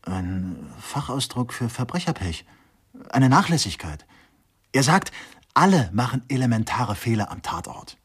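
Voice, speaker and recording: An actor playing a bookish, earnest assistant detective in a radio crime drama, with a lecturing manner. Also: male voice, medium pitch, big pitch swings, a little slow, breathy edge. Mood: pedantic. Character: pedantic male assistant detective, bookish criminology buff.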